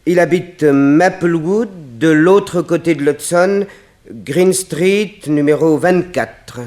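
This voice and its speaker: A man's voice: on the high side, slow pace, a little mellow. An actor playing a fussy male courthouse clerk, offhand and muttering, in a 1960s French radio play. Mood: helpful, muttering.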